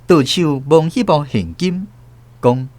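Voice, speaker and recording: A man hosting and narrating a radio drama in measured storytelling fashion. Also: a little high, darkish tone, no room sound. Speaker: host and narrator.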